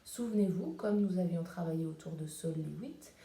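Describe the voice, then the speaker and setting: A woman's voice: on the low side, moderate pace, gravelly, warm tone. A woman teaching an art lesson to young children from home, explaining each step as she paints and cuts. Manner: step-by-step demonstrating while crafting. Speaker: preschool teacher. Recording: echoey room, faint background noise.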